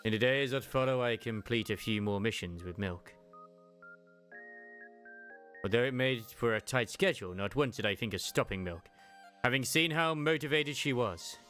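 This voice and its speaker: A man's voice: mid-range voice, brisk, a bit bright, faint music behind. A young man reading a game story aloud and chatting enthusiastically about it.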